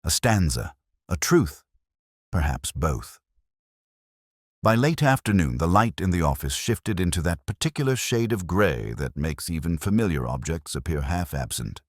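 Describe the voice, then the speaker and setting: A man voicing a brooding, introspective police detective who recounts a case in measured, grave, literary first-person narration for a mystery audio drama. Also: low-pitched, sing-song, no room sound, studio quality. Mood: pensive, grave.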